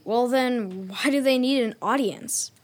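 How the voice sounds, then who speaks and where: A woman playing an inquisitive, excitable child in a children's audio drama. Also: a little high, a little slow, close to an everyday voice. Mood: curious.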